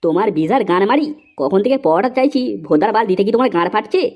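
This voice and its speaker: A woman's voice: medium pitch, lively intonation, rapid-fire, a little mellow, faint music behind, close to an everyday voice. A woman voicing a crude, hot-tempered young drinker who scolds friends in a comedy cartoon. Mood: irritated.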